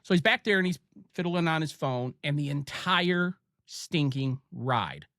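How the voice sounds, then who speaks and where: A man's voice: fairly high pitch, big pitch swings, a bit bright, no room sound, clean studio sound. A man with an Ohio accent hosting a podcast, chatting casually and telling stories with lots of fillers and restarts. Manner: chatty storyteller, halting with many fillers. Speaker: podcast host. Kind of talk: casual chat.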